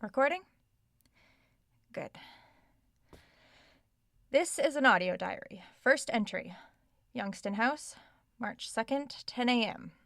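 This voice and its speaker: A woman's voice: a little high, animated, slow, gravelly, long pauses, a bit bright, no echo, clean studio sound, heavily acted. A woman playing an inquisitive, polite but stubborn young writer in an audio drama, natural and articulate with a wry touch. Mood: wry, curious.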